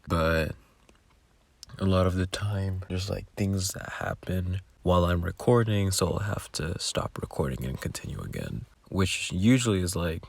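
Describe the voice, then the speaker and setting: A man's voice: low-pitched, no room sound. A man who makes audio roleplays, giving casual, rambling behind-the-scenes commentary with muttered asides.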